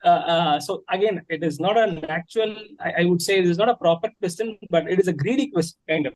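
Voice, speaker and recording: A man's voice: sits high, quick pace, low-quality recording. A father asking questions hesitantly, with many fillers and restarts. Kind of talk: casual question.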